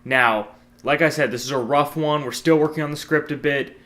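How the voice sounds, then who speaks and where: A man's voice: on the high side, fairly even intonation, normal speed, a bit bright. A man presenting and narrating his own amateur audio drama, in storybook style with informal announcements.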